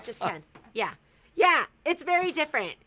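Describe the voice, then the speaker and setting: Woman, shop livestream host, high voice, bright tone, chatty, teasing, giggly. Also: sing-song, moderate pace. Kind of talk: casual livestream product talk. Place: sewing and vacuum shop.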